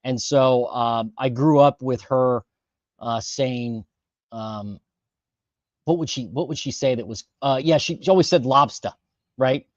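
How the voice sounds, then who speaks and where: A man hosting a casual livestream, talking through housing data with lots of fillers and quick self-corrections. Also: medium pitch, no echo, highs cut off. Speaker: host of a housing market livestream.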